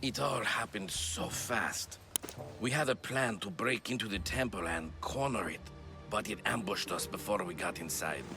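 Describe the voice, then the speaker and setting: An actor playing a grim, battle-worn mercenary warrior in a video game cutscene, speaking in a grave, brooding way. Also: male voice, fairly low pitch, normal speed, gravelly, music in the background. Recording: game cutscene with loud score behind.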